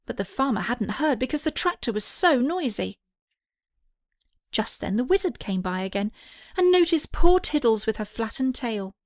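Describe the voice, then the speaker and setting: A woman's voice: fairly high pitch, big pitch swings, moderate pace, no echo, clean studio sound. A woman narrating a scripted children's story as an audiobook reader.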